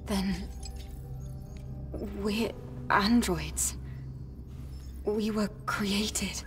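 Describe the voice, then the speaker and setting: A determined young android soldier in a video game. Her voice is mid-pitched, serious and composed, over clear background music. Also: fairly even intonation, drawn-out words. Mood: grave, questioning. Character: resolute, composed young female android warrior. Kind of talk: cinematic video game dialogue.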